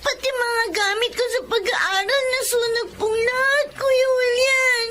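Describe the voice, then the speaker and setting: A woman voicing a young schoolgirl crying after losing her school things in a fire. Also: fairly even intonation. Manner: sobbing, high and slow. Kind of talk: radio drama acting.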